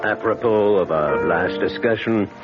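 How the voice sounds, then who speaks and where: A man acting in an old-time radio drama as a courtly, quietly menacing gentleman creditor, formal and oily, with hesitant pauses. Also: on the low side, natural voice. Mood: veiled menace. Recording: dull radio recording under loud music.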